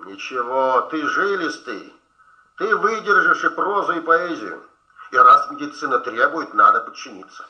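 Actor as animated, bossy, affectionate friend. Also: male voice, fairly high pitch, moderate pace, close to an everyday voice. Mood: firm, affectionate. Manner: teasing, admonishing. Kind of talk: Soviet radio drama acting. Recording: dull, echoey old radio play recording.

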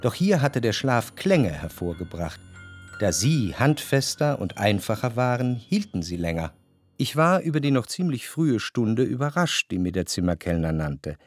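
An actor playing a reflective, cultured man who recalls his past in a literary radio drama, with measured, precise diction. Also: medium pitch, very animated, faint music behind. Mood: pensive. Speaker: narrator.

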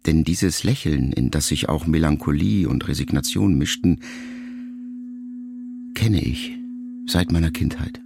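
Deep gravelly man reading quietly. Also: unhurried, pauses often. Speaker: narrator reading a letter. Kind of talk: scripted literary narration in a radio production.

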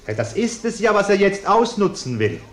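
An actor playing a pompous, self-righteous middle-aged householder in a German radio play, blustering and indignant. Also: male voice, sits high, very animated, moderate pace, a bit bright. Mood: self-righteous grumbling.

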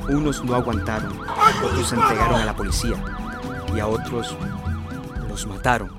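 Dramatic, swooping young migrant. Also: male voice, fairly high pitch, wildly swooping pitch, normal speed. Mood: intense, proud. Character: driven young migrant, proud survivor of hard journey. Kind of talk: radio drama acting, reading a letter. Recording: music under the voice.

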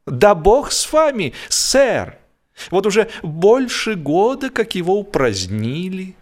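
Man putting on a high-pitched amused local boatman. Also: very animated, slow, full character voice. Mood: amused surprise. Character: amused, slangy local men in a boat. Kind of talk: dramatized audiobook dialogue.

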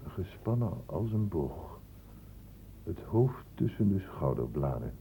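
An actor playing a stern, insistent doctor in a radio play, blunt and urgent, in a lecturing way. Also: male voice, fairly low pitch, warm tone, slight background noise, full character voice. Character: stern, insistent male doctor questioning young people. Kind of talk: Dutch radio play acting. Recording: muffled old radio play recording.

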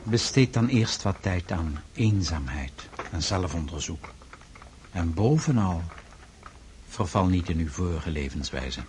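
An actor playing a solemn, fatherly freemason mentor in a radio drama, speaking in a measured, formal, deliberate way. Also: low voice, animated, a little mellow, slight background noise. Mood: grave, advising.

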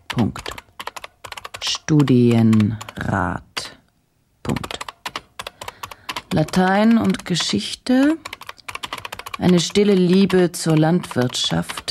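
A female journalist in a radio drama, probing yet doubting herself. Her voice is deep for a woman and dark, with very slow, deliberate phrasing and long pauses, over faint background noise. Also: creaky, heavily acted. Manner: deliberate, pausing, dictating.

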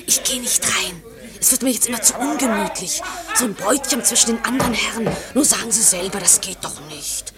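A woman's voice: a little high, brisk, over a noisy crowd, lightly acted. An actress playing a chatty, prim, gossipy woman in a German radio play, fussy and insistent as she gives advice. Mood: fussy, knowing.

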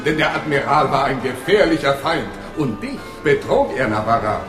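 A man playing a gloating, excitable royal prince in a theatrical radio-play style. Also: medium pitch, normal speed, close to an everyday voice. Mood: exultant, gloating. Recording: echoey room, music behind.

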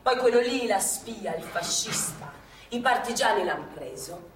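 A woman's voice: mid-range voice, animated, a little slow, lightly acted. An actress playing a bossy, teasing older woman who was a partisan courier, speaking colloquial theatrical Italian with a Milanese accent. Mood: bitter storytelling. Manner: chivvying, sing-song repetition, sarcastic. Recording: echoey stage, distant mic, faint noise.

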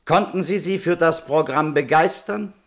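A man's voice: a little high, normal speed, a bit bright. A man acting in a German radio play as a relentless, probing prosecutor who questions insistently and precisely at a hearing. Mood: insistent, pressing. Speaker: interrogating attorney.

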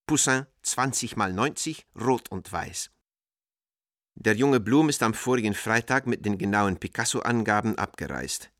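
An actor playing an American art dealer reading out his letters in a radio drama, in a measured, formal way. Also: fairly low pitch, a bit bright, dry recording, studio quality, lightly acted. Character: American art dealer writing coded letters to an old friend.

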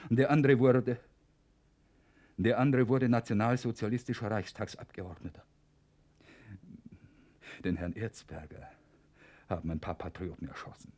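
An actor playing a bitter, resigned middle-aged professor in prison in a German radio play, measured and halting, with dry sarcasm. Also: male voice, lowish voice, a little mellow, close to an everyday voice. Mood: bitter, sardonic.

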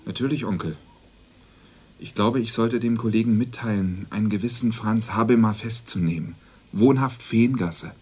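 An actor playing a patient, official young police dispatcher in a radio crime drama. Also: male voice, fairly low pitch, moderate pace, a little mellow, breathy edge. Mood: firm, dry. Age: young adult.

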